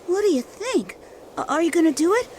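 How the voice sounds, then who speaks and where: An actress voicing a small, eager child in a game cutscene. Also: female voice, very high-pitched, sing-song, brisk, darkish tone, a little hiss or hum. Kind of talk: video game cutscene dubbing.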